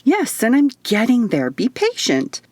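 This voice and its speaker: A woman voicing a bubbly, excitable, naively earnest otherworldly woman in an audiobook, gushing and emphatic. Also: a little high, a little slow, dry recording, pitched above the performer's own voice, heavily acted.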